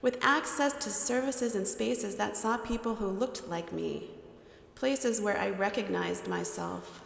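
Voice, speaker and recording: A middle-aged woman preaching a sermon from a pulpit on a headset mic, with a measured, deliberate cadence. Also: medium pitch, sing-song, vocal fry. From United States. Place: large church sanctuary. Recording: echoey church hall with long reverb.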